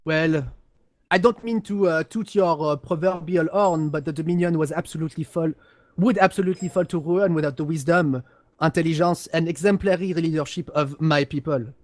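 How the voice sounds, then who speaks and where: A man acts out a haughty, highborn gentleman of a galactic empire, pitched high and recorded close and dry. He is condescending and pompous, picking his words haltingly in a game lore role-play. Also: no room sound, lightly acted. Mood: arrogant.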